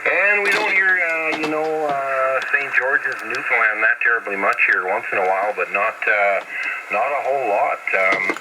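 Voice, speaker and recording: A man's voice: medium pitch, normal speed. A man chatting in an easygoing, folksy way as an operator transmitting over CB radio. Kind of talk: casual CB radio chat. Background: loud radio static and noise.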